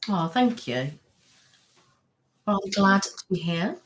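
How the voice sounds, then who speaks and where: Chatty soft-spoken woman greeting viewers. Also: medium pitch, animated, slow pace. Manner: soft-spoken with filler words. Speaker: ASMR channel host. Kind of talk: casual live-stream chat.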